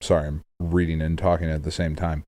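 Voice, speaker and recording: Laid back sounding guy, softer darker tone, dry recording, just chatting as a podcast cohost. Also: a bass voice, level tone, a little fast, no room sound. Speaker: podcast co-host. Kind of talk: casual chat about video games.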